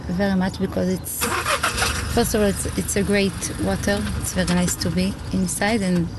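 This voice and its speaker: A woman in her thirties with an Israeli accent, a native Hebrew speaker, answering an interview in halting English as she searches for words. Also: mid-range voice, level tone. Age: 30s. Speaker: interviewee, local settler. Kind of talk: impromptu interview. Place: outdoors near a spring. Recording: outdoor interview with clear vehicle noise.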